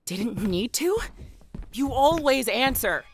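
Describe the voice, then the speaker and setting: An actress playing a forceful, protective woman in an audio drama, urgent and demanding. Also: on the high side, lively intonation, slow. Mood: alarmed, demanding. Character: protective, forceful, sweary woman, fiercely determined.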